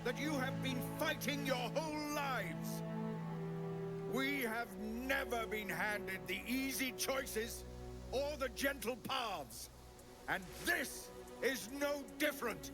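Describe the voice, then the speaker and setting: A man's voice: very high voice, slow pace, loud music behind the voice. A man playing an earnest, commanding leader under siege in a video game, speaking with oratorical urgency. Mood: rallying, defiant. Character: earnest, embattled male leader defending a gate.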